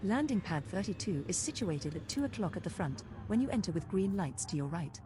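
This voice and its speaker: A woman playing a flirtatious spaceship copilot computer, giving measured, teasing readouts in a game voice pack. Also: lowish voice, expressive, lightly acted.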